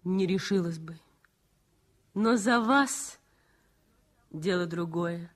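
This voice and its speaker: An actress playing a young nurse in love, gentle and devoted, in a 1960s radio play. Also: female voice, fairly low pitch, slow pace. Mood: loving. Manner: gentle, warm, playful. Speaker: Alena.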